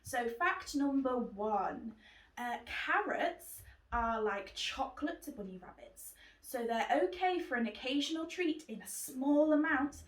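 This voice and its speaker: A woman's voice: high-pitched, expressive, unhurried, far from the mic. A woman hosting a children's book club, talking simply and encouragingly to young viewers. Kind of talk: children's presenter talk. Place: living room indoors. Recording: roomy echo, away from mic.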